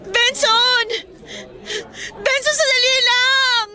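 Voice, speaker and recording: A woman's voice: very high-pitched, fairly even intonation, drawn-out words, crowd noise, full character voice. An actress playing an insecure, pleading teenage girl student with a Filipino accent in a radio romance drama. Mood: tearful pleading. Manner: pleading, emotional.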